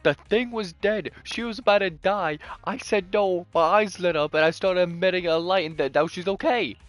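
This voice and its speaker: A man's voice: high-pitched, normal speed, no echo. A man streaming a video game, commenting casually and reading the story text aloud.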